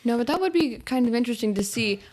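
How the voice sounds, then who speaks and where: A woman's voice: fairly high pitch, animated, quick pace, darkish tone. A woman co-hosting a movie review podcast, chatting in an enthusiastic, gushing way. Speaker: podcast co-host. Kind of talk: casual chat.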